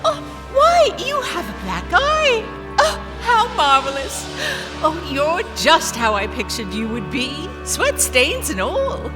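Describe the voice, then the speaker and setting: Starstruck genteel fan, very high voice, wildly swooping intonation, effusive, prim, radio adventure. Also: female voice, huge pitch swings, takes it slowly, heavily acted. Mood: thrilled. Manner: effusive, breathlessly eager, prim diction. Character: gushing, starstruck, aristocratic female adventure fan. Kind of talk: 1930s adventure audio drama acting. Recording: music behind the voice.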